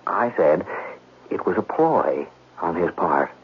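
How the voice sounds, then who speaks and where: A sly gambler plotting against his family. His low voice swings theatrically between feigned innocence and calculation. It sounds like a muffled, noisy old radio drama. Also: animated, takes it slowly, breathy edge, exaggerated voice. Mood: cool, calculating. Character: scheming, smooth gambler brother narrating his own plot. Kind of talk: 1970s radio drama acting.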